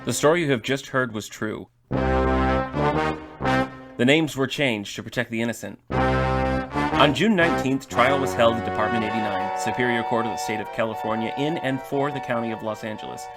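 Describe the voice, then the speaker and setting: A man announcing a radio crime drama in a formal, measured, old-time broadcast style. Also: medium pitch, lively intonation, over loud music.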